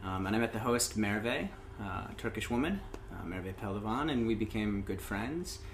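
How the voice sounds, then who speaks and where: A man from Indiana, a guest talking casually about his life, unhurried and dotted with ums. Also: lowish voice, slight background noise. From Indiana, USA. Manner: relaxed storytelling with frequent "um" and "uh". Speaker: interview guest, open mic host. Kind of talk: casual interview.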